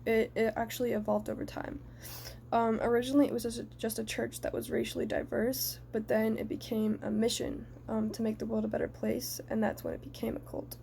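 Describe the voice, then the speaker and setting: A young woman from the United States giving a prepared personal reflection in a measured, earnest way. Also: a little high, flat delivery, creaky, warm tone, a little hiss or hum.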